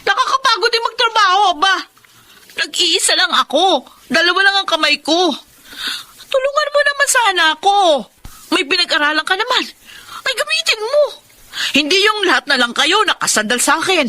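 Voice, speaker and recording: High-pitched, exasperated Filipino breadwinner daughter. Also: female voice, very animated, normal speed, bright, forceful tone, full character voice. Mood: pleading, weary. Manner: emotional, nagging, complaining. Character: exasperated thirty-year-old breadwinner daughter, weary and hardworking. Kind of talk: Filipino radio drama acting.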